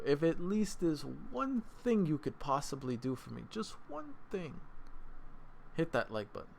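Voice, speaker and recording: A man hosting his own channel, casually reviewing an anime late at night, rambling and restarting his sentences, with sudden excited bursts. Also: mid-range voice, creaky. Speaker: anime reaction channel host. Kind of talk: casual solo review talk.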